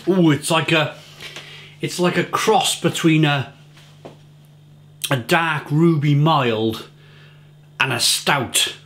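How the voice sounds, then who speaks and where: A man's voice: on the high side, takes it slowly, long pauses, a bit bright, slight background noise. A man in his forties from England, reviewing a beer to camera in his home kitchen, chatty and often repeating words as he searches for them.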